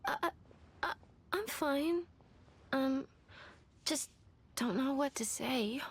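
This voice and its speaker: An actor, a woman, playing a shy, hesitant little girl in a video game, halting and timid. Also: sits high.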